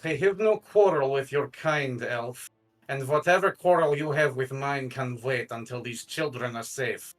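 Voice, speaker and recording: A man voicing a stern, protective hobgoblin warrior in a put-on accent somewhere between Romanian and French, speaking slowly and formally. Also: medium pitch, faint music behind, lightly acted, low-quality recording. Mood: grave. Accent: put-on Eastern European accent, drifting French. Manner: slow, formal, solemn.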